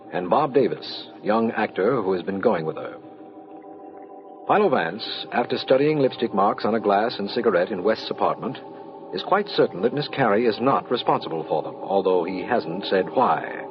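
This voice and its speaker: An actor playing a formal, measured district attorney who narrates an old-time radio mystery. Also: male voice, on the low side, slight background noise, lightly acted. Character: sober, official male district attorney recapping a case. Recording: muffled vintage radio recording. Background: music.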